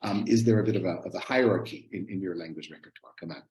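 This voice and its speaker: A man's voice: low-pitched, quick pace, a little mellow. A man moderating an online panel, talking with many fillers and restarts over a video call. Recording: echoey room on a video call.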